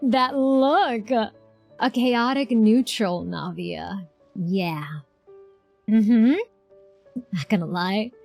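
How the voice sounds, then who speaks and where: A woman's voice: mid-range voice, very slow, gravelly, pauses often, darkish tone. A woman streamer giving casual game reaction commentary, chatty and thinking aloud. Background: faint game music.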